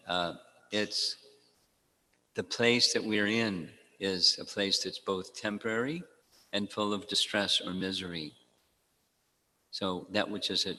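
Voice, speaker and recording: A man giving a devotional talk to a congregation, speaking in a measured way, repeating key phrases and quoting Sanskrit verses. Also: sits low, pushed and bright. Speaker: spiritual teacher. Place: temple hall. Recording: long reverb in a hall.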